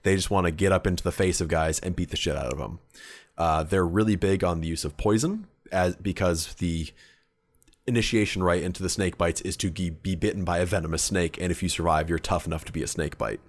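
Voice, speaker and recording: A man's voice: deep voice, fairly quick. A man co-hosting a hobby podcast, chatting informally in a blunt, sweary way. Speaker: podcast co-host. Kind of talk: casual hobby podcast chat.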